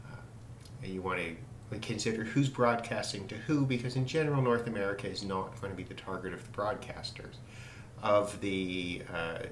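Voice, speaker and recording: A man giving a casual, halting, unscripted radio demonstration, musing as he goes. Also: fairly low pitch, fairly bright tone. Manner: halting, musing delivery with trailing thoughts. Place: indoors, small room at a desk. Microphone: camera mic some distance away. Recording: roomy, distant mic with radio static noise.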